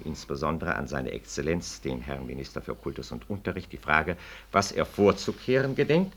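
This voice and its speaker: An actor playing a doctor in a German radio play, reading aloud in a pompous, formal, emphatic manner. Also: male voice, lowish voice, fairly bright tone. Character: scornful male doctor reading a parliamentary accusation aloud to colleagues.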